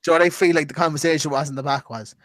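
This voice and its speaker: A man joins a podcast chat, teasing and sweary. His voice sits a little high for a man. The sound is dry and close. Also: steady tone, racing, a bit bright, no echo. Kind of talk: casual chat.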